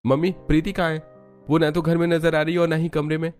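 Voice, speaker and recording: A man's voice: fairly high pitch, flat delivery, fast talker, darkish tone, clean tone, faint music behind, pitched above the performer's own voice, natural voice. A man voicing an earnest, lovelorn young husband in a family drama, with a pleading delivery. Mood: worried.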